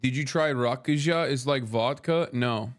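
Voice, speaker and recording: A man's voice: mid-range voice, a little slow. Profane, bantering man from Turkey. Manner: profane, bantering, reads chat aloud and argues with it. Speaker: streamer. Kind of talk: casual livestream chat while eating.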